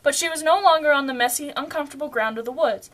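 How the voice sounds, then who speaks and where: A woman's voice: high-pitched, fast, a bit bright. A young woman reading scripted storybook narration, occasionally stumbling over her words. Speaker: puppet show narrator.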